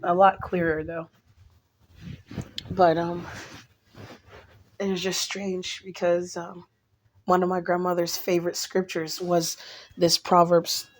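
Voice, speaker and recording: Woman, hoarse from a cold, slightly raspy, flat monotone, rambling, blunt. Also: fairly low pitch, slow, pauses often, a little hiss or hum. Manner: rambling, with restarts and asides; blunt and outspoken. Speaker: vlogger. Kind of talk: casual monologue to viewers.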